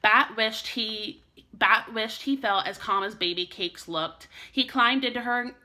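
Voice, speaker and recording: A woman teacher reading a children's storybook aloud in an expressive way meant for young listeners. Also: a little high, a little slow.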